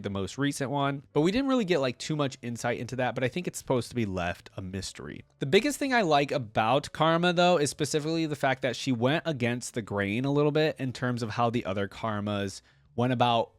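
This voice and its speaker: A man with a mid-pitched voice talks casually as an online video creator. His commentary rambles conversationally, recorded close and dry with no room sound. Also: lively intonation, fairly quick, no room sound. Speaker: video creator reacting to game voice lines. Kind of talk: casual chat, reaction commentary.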